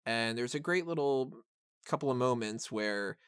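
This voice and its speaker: A man's voice: medium pitch, normal speed, fairly bright tone, smooth, no room sound, studio quality. A man hosting a podcast, discussing a film casually in a rambling, enthusiastic way. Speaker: podcast host reviewing a film.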